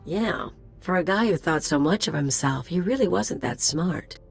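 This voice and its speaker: A woman's voice: fairly low pitch, animated, moderate pace, vocal fry, slightly rough, faint music behind, heavily acted. A woman playing a cocky, bloodthirsty mercenary sidekick in a video game, speaking casually and flippantly. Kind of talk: video game cutscene acting.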